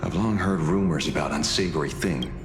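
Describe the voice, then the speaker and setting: A man playing a cool, confident, sardonic detective in a video game, speaking in a composed, measured, wry way. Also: extremely low pitch. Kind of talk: dramatic video game voice acting. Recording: echoing hall with long reverb. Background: music.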